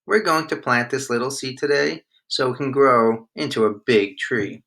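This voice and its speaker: A man as a patient, bright-voiced storybook dad. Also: mid-range voice, normal speed, gravelly, a little hiss or hum. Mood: gentle, encouraging. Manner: encouraging, explanatory. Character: kindly, patient young dad teaching his children.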